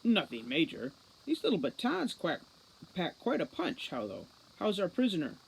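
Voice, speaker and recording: A woman's voice: fairly low pitch, huge pitch swings, normal speed, gravelly, breathy edge, exaggerated voice, rough recording. A woman reading a storybook part as a huge male bodyguard, curt, commanding and dry. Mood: dry, casual.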